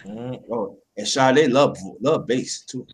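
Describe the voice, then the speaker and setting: A man hosting a casual late-night online chat on a call, teasing and animated, often repeating words in quick bursts. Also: medium pitch, gravelly, breathy edge. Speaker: show host. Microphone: online call audio.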